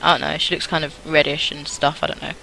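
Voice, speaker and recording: A woman's voice: deep voice, flat delivery, quick pace, vocal fry, sharp tone, slight background noise, low-quality recording. A chatty, self-deprecating woman reading a visual novel aloud with casual commentary. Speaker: gaming video host.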